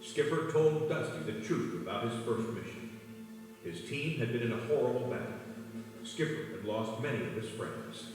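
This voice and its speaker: A man's voice: mid-range voice, expressive, moderate pace, darkish tone. A man reading a children's read-along story as a scripted narrator. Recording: echoey hall, distant, music behind. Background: music.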